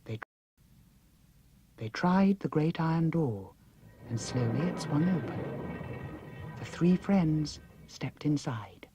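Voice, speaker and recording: A woman's voice: sits low, expressive, slow, pauses often, dark tone, soft background music. A woman reading a children's audiobook as a dramatic scripted narration. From United Kingdom.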